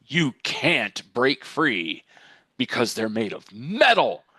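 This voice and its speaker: Mock-commercial spokesman, older male played by a man: ominous, deliberate, earnest, very varied intonation, dry sound. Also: wildly swooping pitch, pushed and bright, breathy edge, no room sound, exaggerated voice. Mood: grave, ominous. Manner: grave, deliberate sales pitch with dramatic emphasis. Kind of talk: mock television commercial read.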